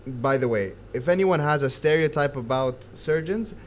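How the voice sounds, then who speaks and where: A man's voice: medium pitch, animated, normal speed. A young man hosting a casual podcast, chatty, with many fillers and frequent laughs. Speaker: podcast host. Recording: faint background noise.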